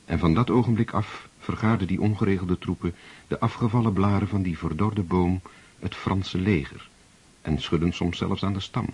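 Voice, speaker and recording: Radio play narrator: deep, raspy, grave, measured literary storytelling. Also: male voice, a little mellow. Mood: solemn. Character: solemn literary narrator of a war tale. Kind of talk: scripted narration for a radio drama.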